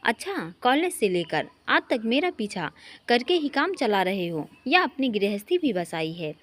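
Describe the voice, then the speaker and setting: Narrator playing a spirited, high-voiced married woman. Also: big pitch swings, unhurried, a bit bright, full character voice. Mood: bantering. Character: spirited married housewife, guarded with an old friend.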